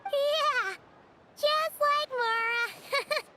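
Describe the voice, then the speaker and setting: A woman voicing a tiny, chirpy, childlike fairy guide in a video game, with a squeaky voice. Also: drawn-out words, exaggerated voice.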